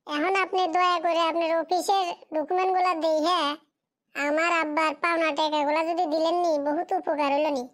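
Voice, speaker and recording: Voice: very high voice, flat delivery, brisk, fairly bright tone. A performer voicing a poor, fatherless schoolboy in a cartoon drama, pleading and plaintive in a rural Bengali dialect.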